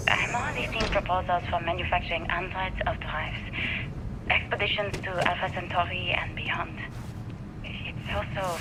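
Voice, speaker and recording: A woman's voice: fairly low pitch, natural voice. Actress as troubled female scientist, noisy recording. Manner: earnest, articulate, troubled. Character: worried, principled female scientist keeping a project log. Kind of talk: dramatic recorded log in a video game. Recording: noisy audio log.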